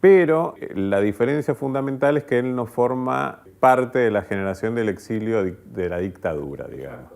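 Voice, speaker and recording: A man's voice: mid-range voice, animated, normal speed, creaky, hardly any pauses, darkish tone. Articulate man giving analytical interview commentary.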